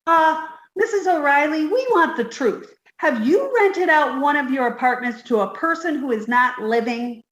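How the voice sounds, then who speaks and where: Scatterbrained young secretary played by a woman, very high and lively, naive and literal, 1950s radio comedy style, roomy recording. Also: normal speed, hardly any pauses. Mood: puzzled, earnest. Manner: guileless, earnestly literal.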